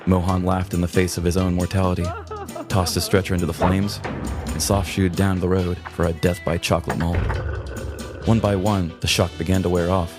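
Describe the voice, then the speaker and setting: A male narrator tells a scripted comedic story. His voice is very low in pitch. A clear music bed plays under the narration. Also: flat delivery, brisk.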